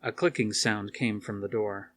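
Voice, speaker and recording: A man's voice: lowish voice, fairly even intonation, normal speed, fairly bright tone, a little hiss or hum. A man reading an action horror novel aloud as scripted narration, with a dramatic storytelling manner. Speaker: audiobook reader.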